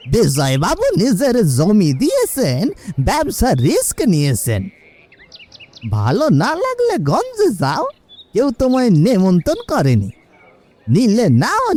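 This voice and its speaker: A woman's voice: medium pitch, mellow. A woman voicing a plain-spoken villager in a moral-story cartoon, with an emphatic delivery. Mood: scolding. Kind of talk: cartoon dialogue.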